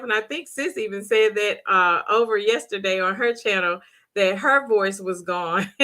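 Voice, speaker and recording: A middle-aged woman with a hoarse voice, hosting a live stream and warmly greeting viewers by name in the chat. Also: fairly high pitch. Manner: bubbly, repetitive greetings. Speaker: livestream host.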